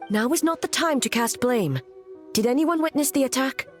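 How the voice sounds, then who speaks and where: A woman's voice: high voice, expressive, faint music behind. An actress voicing a reserved, commanding female shogun with formal speech in a video game. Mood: urgent, commanding. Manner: formal, measured wording.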